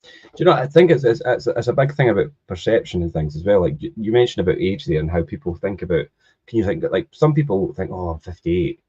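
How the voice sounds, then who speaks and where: A man's voice: low voice, big pitch swings, quick pace, darkish tone, slightly rough. A man in his thirties hosting a casual podcast, often repeating words as he starts a thought. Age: 30s. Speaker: podcast host. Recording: dull lo-fi sound.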